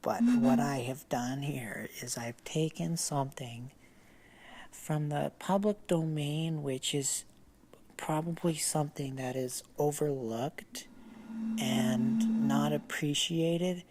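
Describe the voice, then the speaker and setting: A man hosting a comedy radio show, reading in a slow, deadpan, halting way. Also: a little high. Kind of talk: deadpan mock poetry reading.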